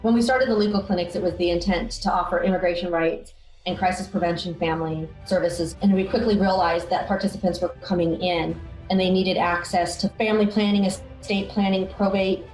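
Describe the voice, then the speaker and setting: A woman explains a clinic program plainly, in an interview recorded in a roomy space. Her voice is lowish, with soft music behind it. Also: steady tone, fairly quick. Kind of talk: documentary interview. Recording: roomy echo.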